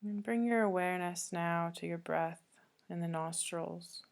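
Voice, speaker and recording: A woman yoga teacher leading a guided meditation, speaking calmly and gently with long pauses between soothing instructions. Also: fairly low pitch.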